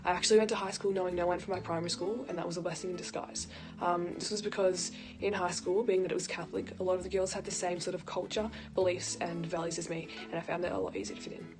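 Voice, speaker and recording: Quick, low-voiced young woman. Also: little pitch movement. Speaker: university student. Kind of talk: read-aloud personal account. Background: background music.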